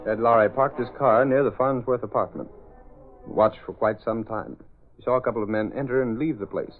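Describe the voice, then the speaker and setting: An actor playing the narrator of an old radio serial, setting scenes in sober scripted prose. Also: male voice, medium pitch, steady tone, a little fast, close to an everyday voice. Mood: measured. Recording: muffled old radio, faint music.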